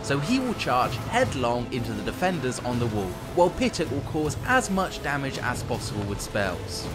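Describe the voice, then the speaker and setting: A man giving scripted, tongue-in-cheek strategy game narration in a wry, theatrical, playfully villainous manner. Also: medium pitch.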